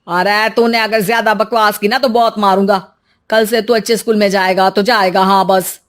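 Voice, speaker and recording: A woman's voice: medium pitch, fairly even intonation, moderate pace, a bit bright, lightly acted. A humble rickshaw-puller father in a cartoon morality tale, acted by a woman. He speaks deferentially, with an anxious, pleading manner. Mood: concerned.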